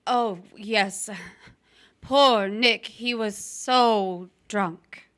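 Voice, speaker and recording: An actress playing a steely, scheming young widow in a noir stage drama, speaking in a pointed, dramatic way. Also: female voice, mid-range voice, drawn-out words, fairly bright tone, clear, resonant tone. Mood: feigned concern.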